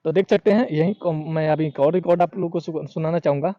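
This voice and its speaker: A man presenting news informally and unscripted, halting and repeating himself, in a dull lo-fi recording. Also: fairly high pitch, steady tone, dark tone.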